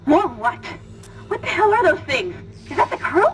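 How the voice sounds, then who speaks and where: A woman's voice: sits high, very animated, normal speed. An actress playing an outspoken female technician in a sci-fi horror game, anxious, blunt and urgent. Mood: alarmed. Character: tense, outspoken female technician on a doomed spaceship. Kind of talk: video game dramatic acting. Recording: distant voice in noisy game ambience.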